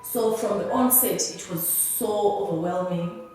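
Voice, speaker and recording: Zimbabwean woman in her young adult years, earnest halting testimony, mid-pitched voice, recorded far off in a very echoey room. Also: darkish tone, faint music behind. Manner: halting and earnest, often restarting words. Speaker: interviewee. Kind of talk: personal testimony.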